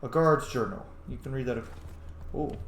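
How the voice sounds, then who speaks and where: A man's voice: mid-range voice, moderate pace. A man doing casual, profane commentary as he plays a fantasy roleplay game, reacting aloud to what happens. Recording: roomy, faint background noise.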